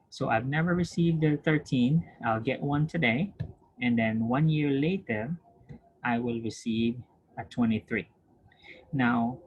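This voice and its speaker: Brief: male nursing lecturer, patient and repetitive, mid-pitched voice, light hiss on the recording. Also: poor audio. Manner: patient, repetitive, checks understanding with questions; frequent throat clearing and restarts. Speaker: nursing instructor. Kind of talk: recorded lecture over screen share.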